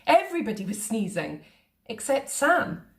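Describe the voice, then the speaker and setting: A woman reading a children's picture book aloud in a playful, expressive way. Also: on the high side, normal speed. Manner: expressive, sing-song. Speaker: storyteller.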